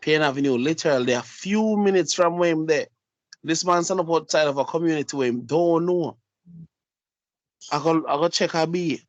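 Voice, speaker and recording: A man with a thick Jamaican accent tells a story in casual patois, circling back and repeating himself. His voice sits a little high. The sound is dry and close, with no room echo. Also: moderate pace. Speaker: podcast co-host. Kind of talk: casual chat.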